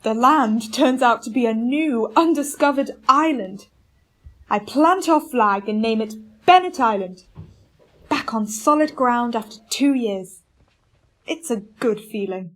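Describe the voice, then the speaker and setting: A woman's voice: on the high side, animated, a little slow. A woman playing a firm, authoritative expedition captain in a radio play, both giving orders and reading out a log. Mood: steady, hopeful. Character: commanding expedition ship captain keeping a log.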